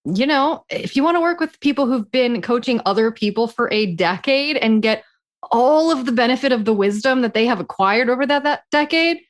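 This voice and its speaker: A woman's voice: a little high, animated, brisk. A woman co-hosting a podcast as a coach, chatting casually in a frank, emphatic way. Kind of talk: casual chat between co-hosts.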